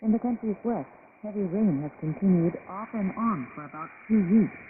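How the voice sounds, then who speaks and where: A woman's voice: mid-range voice, normal speed. A woman reading scripted international news in a formal manner as a radio anchor. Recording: noisy, narrowband shortwave radio reception.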